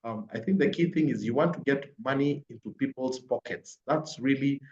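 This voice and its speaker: A man's voice: mid-range voice, moderate pace, darkish tone. A man with a Kenyan accent giving explanatory spoken analysis as a panelist, building his points step by step. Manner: explanatory, builds points in numbered lists and repetition. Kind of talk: spoken analysis at a virtual forum. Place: indoors, online call. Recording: roomy echo, dull lo-fi online call.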